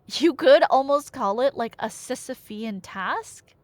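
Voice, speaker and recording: A high-voiced woman gives a casual, comic retelling of myth. Her pitch is very lively and she wanders into nerdy, slangy asides. The recording is dry and close. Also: unhurried, dry recording. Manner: nerdy, slangy, animated, self-interrupting asides. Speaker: audio roleplay performer and storyteller.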